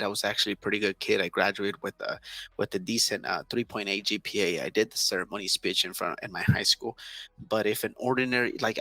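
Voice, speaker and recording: A man's voice: on the low side, creaky, pushed and bright, dry recording, low-quality recording. A man in his thirties, a native Spanish speaker from Southern California, talking earnestly as an interview guest, with many restarts and fillers. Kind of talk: casual interview talk.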